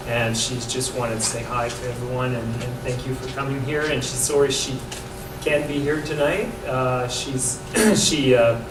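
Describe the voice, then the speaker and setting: A man speaking on behalf of his wife at an event, halting and stammering. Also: medium pitch, level tone. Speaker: absent artist's husband. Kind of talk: brief apology speech. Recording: echoey room, distant mic, air conditioning hum.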